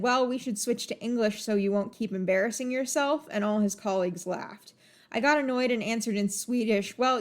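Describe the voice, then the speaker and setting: Emphatic, laughing woman. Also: mid-range voice. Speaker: podcast guest. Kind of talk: casual podcast chat.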